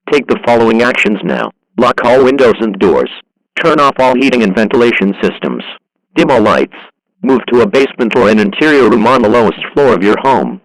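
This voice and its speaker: A man acting as a government emergency announcer delivers a scripted alert. His voice sits at an average male pitch, with a formal, impersonal, measured manner. The sound is close and dry, with no room on it. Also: dry recording, natural voice, low-quality recording.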